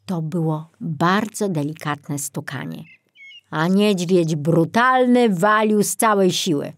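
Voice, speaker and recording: A woman narrating a children's storybook in an expressive, deliberate style. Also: on the low side, dry recording. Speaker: audiobook narrator.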